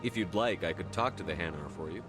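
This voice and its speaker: A man playing a calm, direct military commander in a video game, speaking firmly and levelly. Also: low-pitched, fast talker, loud music behind the voice, close to an everyday voice. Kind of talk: game voice acting.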